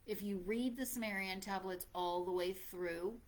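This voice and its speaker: A woman's voice: mid-range voice, moderate pace. A woman hosting a live audio room, speaking earnestly and quoting scripture in informal religious talk.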